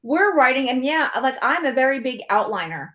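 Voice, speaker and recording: A woman with a somewhat high voice hosts a relaxed, conversational podcast interview. She is chatty and agreeable, wandering into loose asides as she talks. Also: a little fast. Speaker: podcast host and author.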